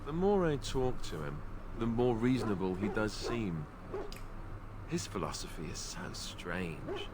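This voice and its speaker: A wary, low-voiced fantasy companion. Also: male voice, very animated, a little slow, crowd noise. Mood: wary, curious. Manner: questioning, wry, conversational. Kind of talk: fantasy video game dialogue.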